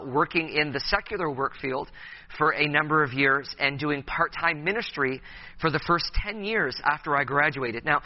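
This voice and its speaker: Man, thirties, youth pastor, conference talk, hesitant fillers, playful, dull lo-fi sound. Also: fairly high pitch. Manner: hesitant, many uh fillers and restarts, playful with audience.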